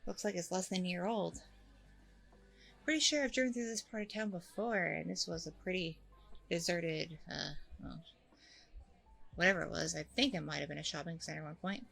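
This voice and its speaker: A woman's voice: on the low side, fairly quick, vocal fry, pauses often, a bit bright, faint music behind. A woman playing a chatty, apologetic blind date in an ASMR audio roleplay, rambling along casually and a little hesitantly. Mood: friendly, chatty.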